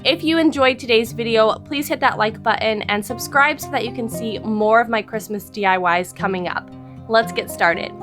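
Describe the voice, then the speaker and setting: A woman in her thirties, a little hoarse from losing her voice, explaining a craft project step by step in a friendly voice-over. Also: on the high side, normal speed. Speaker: crafting channel host. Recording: voice-over with background music.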